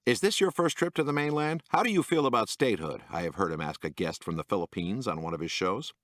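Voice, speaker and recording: A man's voice: on the low side, expressive, fast talker, fairly bright tone, dry recording. A man reading a humorous magazine essay aloud in a wry, dry manner, lifting his voice for each quotation. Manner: dry, wry delivery that lifts into quoted voices. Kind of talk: scripted narration.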